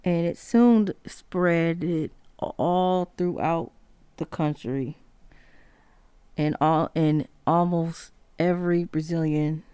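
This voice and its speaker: A woman hosting a solo music history podcast, talking haltingly with many pauses, fillers and self-corrections. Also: fairly low pitch, steady tone, mellow, clean tone, no echo. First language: not Spanish. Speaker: podcast host.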